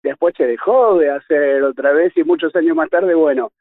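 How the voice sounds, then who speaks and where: A man's voice: on the high side, moderate pace, dry recording. An Argentine man reflecting casually as an interviewee, hesitantly searching for words. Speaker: journalist, interviewee. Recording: tinny phone-like line, dry.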